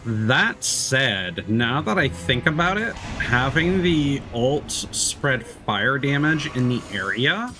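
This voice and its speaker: A man's voice: mid-range voice, big pitch swings, takes it slowly, hardly any pauses. A man giving casual live gameplay commentary as a streamer, thinking aloud as he muses through tactics. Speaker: video game streamer.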